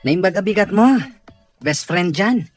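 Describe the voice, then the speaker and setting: A woman's voice: on the low side, animated, measured pace, soft background music. A woman voicing a cocky young male school friend in an Ilocano audio drama, bantering and needling. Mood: teasing.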